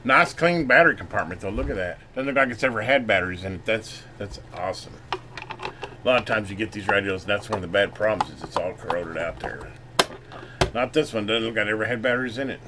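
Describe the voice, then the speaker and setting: A man giving a casual hands-on repair demonstration, folksy and unhurried as he talks while working. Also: fairly low pitch. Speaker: channel host doing electronics repair. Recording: noisy room, clearly audible background noise.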